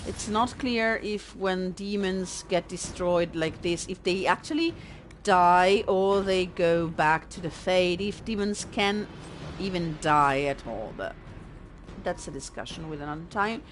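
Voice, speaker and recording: Slow, chatty Italian woman gamer. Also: fairly low pitch, a little hiss or hum. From Italy. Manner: chatty, self-deprecating, thinks aloud. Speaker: let's-play host. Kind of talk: casual gameplay commentary.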